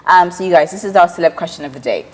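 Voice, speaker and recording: A woman's voice: on the low side, very fast, darkish tone, long reverb tail. A woman hosting a talk show and steadily guiding the talk. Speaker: host.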